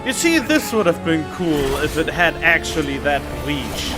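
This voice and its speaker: Slow-talking male streamer over game music. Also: fairly high pitch, big pitch swings. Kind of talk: casual live reaction to a game.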